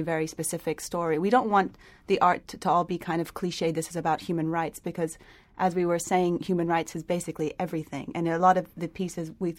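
A woman's voice: on the low side, darkish tone. A young woman answering interview questions about an art project in a loose, hedging way. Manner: loose, hedging talk with many "kind of" and "like".

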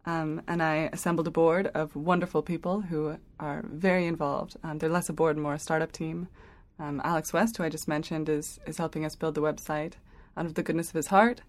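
A woman's voice: deep voice, fairly even intonation, fairly quick. A young woman talking about founding her project, chatty with many fillers. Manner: chatty, filler-heavy with light laughs. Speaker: interview guest, project founder. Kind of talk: casual interview answers.

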